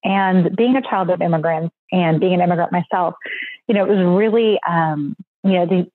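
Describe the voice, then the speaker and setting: 40s woman guest from sudan, low husky voice over a tinny phone line, chatty. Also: dry recording. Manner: chatty, reflective, laughs easily. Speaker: guest, company founder. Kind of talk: podcast interview.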